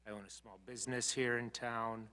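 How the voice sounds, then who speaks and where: A man's voice: mid-range voice, level tone, fairly quick, bright, forceful tone. A man, a commission member, casually introducing himself at a meeting in a hesitant, informal manner. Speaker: commission member, small business owner. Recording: reverberant meeting room.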